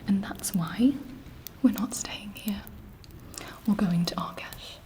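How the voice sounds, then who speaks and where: A woman voicing a scheming, haughty vampire queen in a breathy, deliberate ASMR whisper. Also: medium pitch, dark tone, natural voice. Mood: conspiratorial, calculating. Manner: slow, deliberate, imperious. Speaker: ASMR performer.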